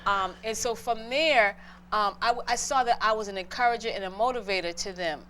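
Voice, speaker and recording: A woman's voice: medium pitch, fairly quick, a bit bright, a little hiss or hum. A middle-aged woman from Boston, a guest entrepreneur earnestly telling her own story in an interview.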